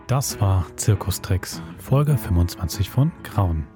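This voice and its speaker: A man's voice: low voice, animated, slow. A man presenting the outro of an audio drama podcast as its host. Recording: guitar music behind the voice.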